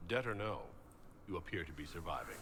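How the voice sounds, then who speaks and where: An actor voicing a composed, direct heroic leader with a dry kindness in a video game. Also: male voice, sits low, big pitch swings, a little fast, a bit bright, husky, full character voice. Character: composed, plain-spoken heroic male leader. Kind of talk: video game dialogue acting.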